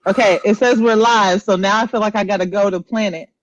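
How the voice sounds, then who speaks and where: A woman's voice: mid-range voice, steady tone, fairly quick, dry recording, highs cut off. A woman with an American accent hosting a casual podcast in an informal, conversational way, often repeating herself. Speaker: podcast host. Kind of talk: casual live podcast chat.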